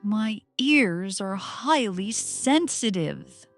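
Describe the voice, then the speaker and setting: A woman's voice: medium pitch, animated, drawn-out words, soft background music, pitched above the performer's own voice. A woman voicing a grumpy, fussy male bear with formal, indignant phrasing in a storybook reading. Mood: exasperated. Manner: indignant, melodramatic, formal wording.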